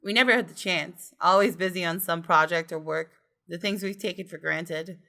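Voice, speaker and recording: A woman narrates a visual novel in measured, dramatic phrases. Her voice sits a little low for a woman, with a crisp, very bright and forceful edge. The reading is expressive, like storytelling for a gaming video. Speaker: gaming video creator reading a game aloud.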